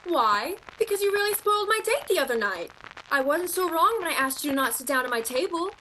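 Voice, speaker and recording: An actress playing a proud, dreamy young female shop clerk in a radio play, earnest and expressive with a haughty edge. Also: extremely high pitch, fairly quick, a little hiss or hum, exaggerated voice, poor audio. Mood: smug, defensive.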